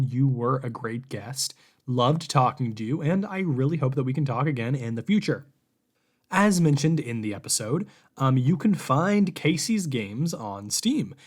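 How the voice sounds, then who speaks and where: Casual male podcast host chatting. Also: a little high. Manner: informal, loose, conversational. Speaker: podcast host and game reviewer. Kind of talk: casual podcast interview chat.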